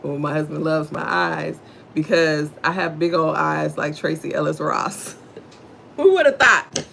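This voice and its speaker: A middle-aged woman from Houston with a Texan accent and a deep voice, talking low and slow as she reads a devotional and greets viewers on her livestream. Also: creaky, a bit bright, a little hiss or hum. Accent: Southern US, Texan. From Houston, Texas, USA. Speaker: livestream host. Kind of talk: devotional reading and casual chat with live viewers.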